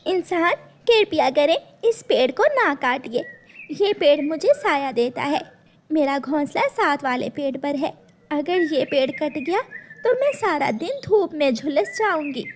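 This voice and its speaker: A woman voicing an earnest, gentle mother bird in a children's cartoon. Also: high voice, animated, a little slow, a little hiss or hum. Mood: pleading. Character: gentle, brave mother bird telling her daughter memories. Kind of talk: Hindi children's cartoon storytelling.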